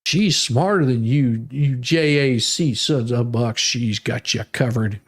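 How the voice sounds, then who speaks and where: A man's voice: mid-range voice, slow pace. A man voicing a defiant, boastful woman inmate with a folksy, indignant drawl in a comic reading.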